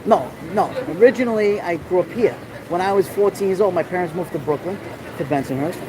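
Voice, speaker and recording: A middle-aged New York man walks a rainy city street with his phone, talking to his live stream audience in a fairly high voice. He rambles in a friendly way and greets viewers by name as he goes. Loud street noise comes through the phone mic around him. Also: fairly quick. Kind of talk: casual walking tour live stream. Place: outdoor city street during a festival, raining. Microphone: handheld phone camera.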